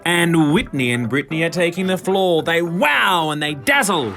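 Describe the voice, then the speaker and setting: A man reading a children's picture book in verse, with expressive, dramatic, rhythmic narration. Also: on the high side, normal speed, soft background music.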